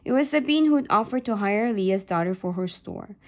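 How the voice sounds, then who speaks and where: A woman whose first language is Korean, reading a novel aloud in a steady, clear storytelling voice. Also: medium pitch, moderate pace. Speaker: reader.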